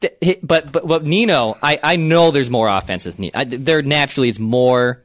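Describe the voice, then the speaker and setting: A man's voice: medium pitch, dry recording, studio quality. A man co-hosting a casual hockey podcast, who often repeats words as he starts a thought. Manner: rapid, repeats words while starting sentences. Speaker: podcast co-host. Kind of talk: casual sports chat.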